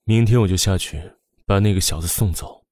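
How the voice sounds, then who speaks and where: A man's voice: low voice, a little fast, a little mellow, dry recording, studio quality. An actor playing a stern, cold husband and father in an audiobook, speaking in a curt, severe way. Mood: cold, suspicious. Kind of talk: audiobook character acting.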